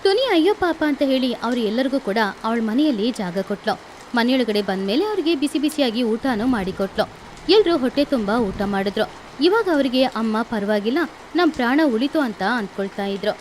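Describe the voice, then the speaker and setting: A woman's voice: on the high side, animated, normal speed, a little mellow, a little hiss or hum. A woman storyteller narrating scripted bedtime stories for children.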